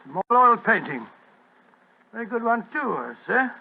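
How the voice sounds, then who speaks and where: High-voiced genial old British doctor. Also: male voice, sing-song, a little slow, vocal fry, slight background noise, exaggerated voice. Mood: curious, polite. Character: genial elderly English doctor reminiscing, loyal detective's companion. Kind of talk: 1940s radio acting. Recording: muffled old-time radio recording.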